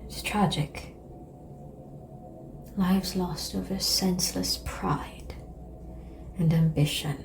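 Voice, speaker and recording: Over audible background ambience, a woman plays an ancient female vampire, seductive and composed. Her voice is lowish, soft and breathy, often sinking to a whisper. She speaks very slowly and formally, in an ASMR roleplay manner. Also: steady tone. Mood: grave, disdainful. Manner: slow, measured, formal phrasing with long pauses. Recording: clear background noise and ambience.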